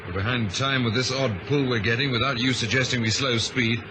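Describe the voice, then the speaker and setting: A man playing a brisk, dutiful ship's chief officer in a vintage radio drama, crisp and urgent. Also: medium pitch, fairly even intonation, close to an everyday voice. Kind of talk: old radio drama acting. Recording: noisy vintage radio recording.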